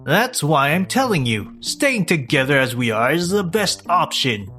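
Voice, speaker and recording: A selfish, lazy young husband voiced by a man in a manga dub, with very lively, swooping intonation over faint music. He sounds smug and dismissive, sliding into whiny self-justification. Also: on the high side, unhurried, heavily acted. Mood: smug, insistent.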